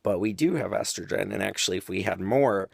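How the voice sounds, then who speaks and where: A young man with a deep voice talking casually to camera, explaining his views at length with frequent ums and side tangents. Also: fairly quick, creaky, slightly rough, dry recording, clean studio sound. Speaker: vlogger.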